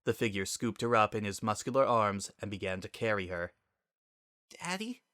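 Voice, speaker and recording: A man's voice: on the low side, fairly even intonation, fairly quick, sharp tone, no echo, studio quality. A man reading a story aloud as narrator in a dramatic style.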